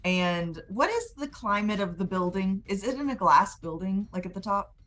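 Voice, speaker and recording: A woman's voice: medium pitch, lively intonation, normal speed, a bit bright. A woman playing a tabletop roleplaying game, describing her moves in informal, plain-spoken language.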